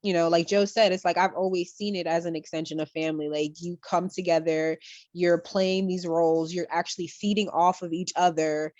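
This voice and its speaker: A woman's voice: lowish voice, fairly even intonation, fairly quick, darkish tone, no echo. A woman speaking casually as a guest actor in a panel chat, on a dull lo-fi recording. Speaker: stage actor, interview guest.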